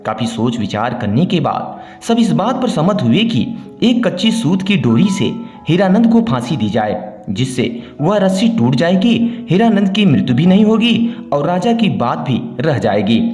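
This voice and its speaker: A man's voice: a little high, big pitch swings, moderate pace, darkish tone. A man narrating a scripted moral story as a storyteller. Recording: roomy echo, music behind. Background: background music.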